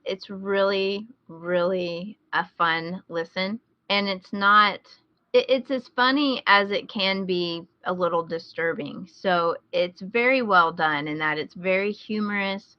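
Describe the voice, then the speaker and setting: A woman's voice: mid-range voice, slow, dry recording. A woman hosting a podcast, chatting casually as she gives recommendations. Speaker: podcast host. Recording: slightly dull, lo-fi close recording.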